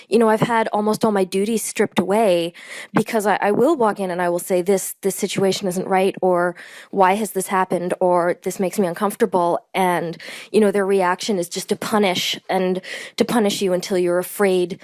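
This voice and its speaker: Frustrated woman on a halting voice memo. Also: medium pitch, a little mellow, dry recording, rough recording. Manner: halting, frustrated, repeats herself. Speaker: listener sending a voice memo. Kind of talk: recorded voice memo. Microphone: phone voice memo.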